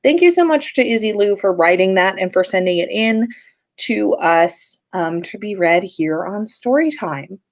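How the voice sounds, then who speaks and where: A woman hosting a story livestream in an informal, playful and expressive way. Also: medium pitch, measured pace. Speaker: podcast host and story reader. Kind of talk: livestream story reading and casual chat.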